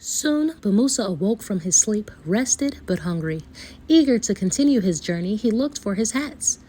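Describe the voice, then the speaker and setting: A woman storyteller reading a folktale aloud for children, with expressive, deliberate phrasing. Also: medium pitch, a little hiss or hum.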